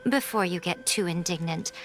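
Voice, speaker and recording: A woman voicing a teasing, poised shrine maiden in a video game, coy, measured and knowingly superior, with music behind her. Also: fairly low pitch, fairly bright tone, poor audio. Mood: cool, knowing. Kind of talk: video game character acting.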